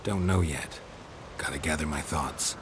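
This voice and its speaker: A man voicing a gruff, stoic monster hunter in a video game, low and gravelly, terse and deadpan with dry humour. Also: unhurried, noisy background. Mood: dry, wry.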